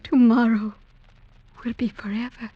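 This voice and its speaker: An actress playing a tender young woman bidding her beloved farewell in a soft, breathy, hushed radio drama style. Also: on the high side, level tone, unhurried, dry recording. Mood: tender, sorrowful. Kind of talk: 1940s radio drama acting. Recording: dull, muffled vintage radio recording.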